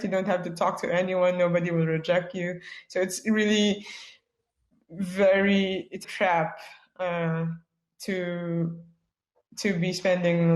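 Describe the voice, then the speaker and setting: A woman from the Philippines, giving a casual webinar talk as a guest speaker, chatty and full of "like" and "yeah" fillers. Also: lowish voice. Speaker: guest speaker, product manager. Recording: echoey room.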